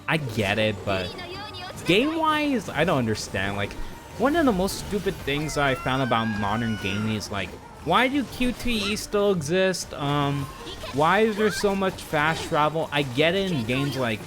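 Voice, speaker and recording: A man's voice: mid-range voice, big pitch swings, measured pace. A man chatting casually and informally as a game livestreamer, rambling with opinionated, exasperated asides. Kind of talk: casual rambling stream chat about games. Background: background music.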